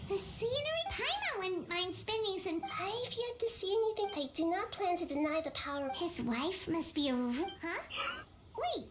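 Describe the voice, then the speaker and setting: Very high childlike fairy girl. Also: lively intonation, moderate pace, noisy background. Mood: excited, alarmed. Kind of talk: anime game voice acting. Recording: loud game music behind. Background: loud game music.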